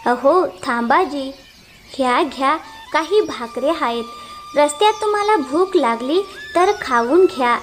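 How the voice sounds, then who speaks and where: A woman voicing a gentle, supportive young village wife in a cartoon story, respectful and softly advising. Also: high-pitched, expressive, unhurried, faint music behind. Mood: caring.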